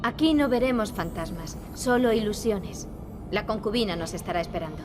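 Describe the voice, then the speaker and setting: A woman's voice: mid-range voice, expressive, normal speed, noisy background, close to an everyday voice. A woman with a Castilian Spanish accent playing an earnest, determined young princess in a video game. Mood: solemn, earnest. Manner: earnest, serious. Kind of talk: video game acting, European Spanish dub.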